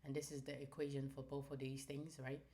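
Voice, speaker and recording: A woman's voice: very deep voice, little pitch movement, brisk, mellow, echoey room. A woman hosting a live trading lesson, talking emphatically and checking in with "right?" after her points. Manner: emphatic, ends phrases with "right?". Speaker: trading stream host.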